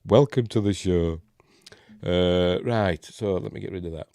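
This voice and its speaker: A man's voice: sits low, a little slow, dark tone, smooth, no room sound, clean studio sound. A man with a Yorkshire accent hosting a rambling live-stream film review, congested and snuffly from a cold. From Yorkshire, England. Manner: rambling, hesitant, many fillers. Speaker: host.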